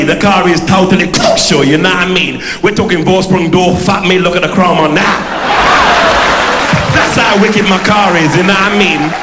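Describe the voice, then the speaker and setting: A man's voice: high voice, heavy background noise. A man on a live comedy stage playing a cocky, boastful young man from Brixton with a South London accent, who keeps asking "you know what I mean". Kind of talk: stand-up character act. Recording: echoey hall with crowd laughter.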